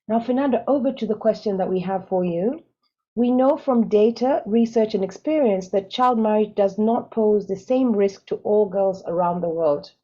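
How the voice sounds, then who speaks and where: A woman with Swahili as her first language runs an online panel, chatty and laughing at her own slips, with a mellow, dark voice. the call audio is dull and lo-fi. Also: mid-range voice, moderate pace. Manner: warm, chatty, laughs at herself, frequent ums. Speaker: webinar moderator. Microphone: video call.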